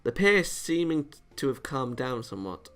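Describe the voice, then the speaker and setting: A man reading visual novel narration aloud as a let's player, in an expressive, dramatised way. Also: mid-range voice, normal speed. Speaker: video game let's player.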